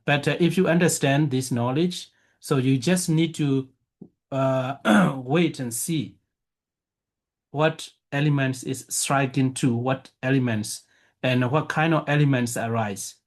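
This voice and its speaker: A man whose first language is Burmese, a monk teaching a lecture, talking haltingly with many fillers and restarts. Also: mid-range voice. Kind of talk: Dharma lecture with questions.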